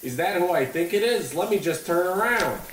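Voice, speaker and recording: Highish-voiced blustering coach, bossy and oblivious, radio comedy, roomy and slightly distant recording. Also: male voice, animated, moderate pace, background noise. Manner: blustering, old-fashioned slang. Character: gruff, bossy, oblivious old-time baseball coach. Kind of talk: old-radio comedy acting.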